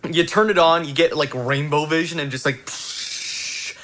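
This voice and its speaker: A man's voice: fairly high pitch, fairly even intonation, a little slow, a bit bright, poor audio. A man in a casual group chat, riffing enthusiastically with lots of dude-style exclaiming.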